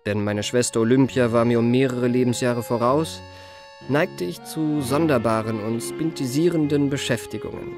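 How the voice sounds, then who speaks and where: A man's voice: mid-range voice, a little mellow, close to an everyday voice. An actor playing a genteel, self-admiring young charmer, narrating his youth in polished, mannered literary style for a radio play. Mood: reflective.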